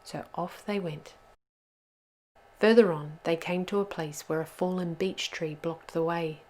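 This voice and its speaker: A woman storyteller reads a children's picture book aloud at a very slow pace. Her voice sits a little low, and her delivery is deliberate and expressive.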